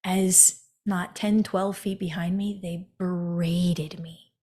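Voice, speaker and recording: A woman hosting a podcast, telling her own story in an earnest monologue and often turning to the listener with a quick "right?". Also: fairly low pitch, fairly even intonation, mellow. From United States. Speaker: podcast host.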